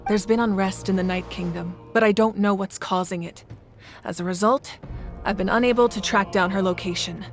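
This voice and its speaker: A woman acting a composed, commanding ruler in a video game, speaking with formal, measured authority. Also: mid-range voice, steady tone, soft background music, natural voice. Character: commanding, composed female ruler of a warrior nation.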